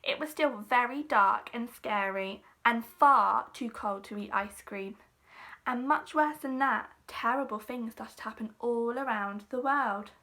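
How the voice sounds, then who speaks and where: Female teacher as storybook reader, high and very bright voice, expressive delivery with dramatic emphasis. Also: a little slow. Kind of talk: expressive children's storybook reading.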